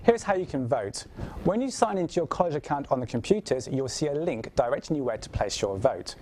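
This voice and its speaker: A man's voice: mid-range voice, very animated, fairly quick, a little mellow, a little hiss or hum. A young man presenting a student TV show and reading out announcements. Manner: reads out announcements.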